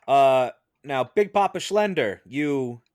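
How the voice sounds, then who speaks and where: A man's voice: medium pitch, lively intonation, takes it slowly, darkish tone, no room sound. A man hosting a podcast in casual panel chat, with a jokey, teasing manner. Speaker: podcast host.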